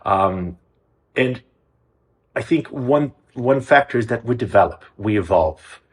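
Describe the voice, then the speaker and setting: A man's voice: mid-range voice, animated, unhurried. A man from Brazil, a music program's executive director, answering interview questions earnestly, often restarting his phrases. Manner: earnest, with frequent restarts and hesitations.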